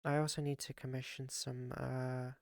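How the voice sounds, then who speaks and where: A streamer in casual chat with their viewers, voice raspy and gravelly from the morning. The delivery is flat and groggy, full of fillers and restarts. The sound is dry and close, with no room around it. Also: medium pitch, moderate pace, dry recording. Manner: rambling, with frequent fillers and restarts. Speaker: live streamer.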